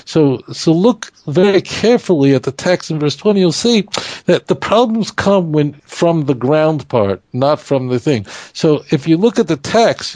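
A man whose first language is Hebrew teaches scripture in a lively, conversational way. He stumbles and restarts often as he talks. His voice is mellow and a little high, and the recording is dry with no room sound. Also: no echo. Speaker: Torah teacher. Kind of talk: conversational Bible study.

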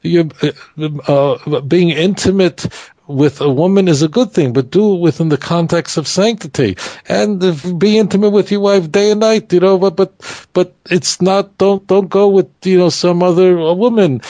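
A man whose first language is Hebrew teaches scripture in a lively, conversational way. He stumbles and restarts often as he talks. His voice is mellow and a little high, and the recording is dry with no room sound. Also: moderate pace, no room sound. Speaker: Torah teacher. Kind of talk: conversational Bible study.

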